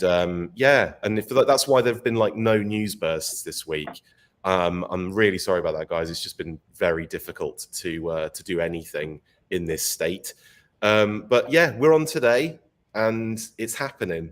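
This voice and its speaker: A croaky-voiced man hosts a casual live show, chatting and laughing easily between topics. His voice sits a little low and sounds worn from being unwell. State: croaky voice from illness. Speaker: podcast host. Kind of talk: casual live-stream chat.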